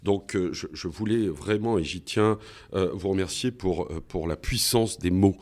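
A man's voice: low-pitched, moderate pace, a little mellow. A man hosting a podcast, closing the episode in a formal manner with many hesitant fillers. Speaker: podcast host. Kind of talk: podcast wrap-up.

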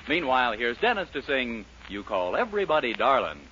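A man announces a 1940s radio show in scripted narration. His mid-pitched voice moves with lively intonation. The old recording is muffled and very noisy. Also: a little fast.

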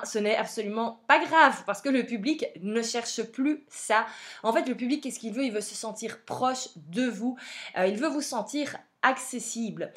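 A young woman with a Belgian French accent hosting a podcast, chatty and frank with plenty of fillers. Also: on the high side. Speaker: podcast host. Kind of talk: casual advice podcast.

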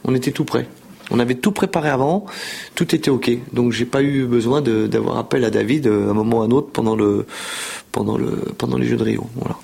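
A man's voice: mid-range voice, fairly quick, creaky, darkish tone, breathy edge. A man in his fifties telling his story in a casual interview, rambling with frequent repeated words and fillers. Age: 50s. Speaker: show jumping rider.

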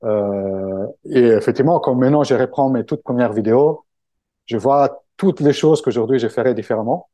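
A man's voice: medium pitch, normal speed, warm tone, dry recording. An Italian man speaking French as a second language with an Italian accent, talking through an interview with many fillers and restarts. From Italy. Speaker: guest, book-summary creator. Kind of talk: casual interview conversation.

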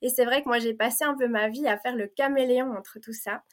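A woman's voice: on the high side, fairly even intonation, brisk. A woman born in Provence and raised in Belgium, a guest talking about herself in a conversation, hesitant and earnest with many fillers and restarts. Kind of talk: casual conversation.

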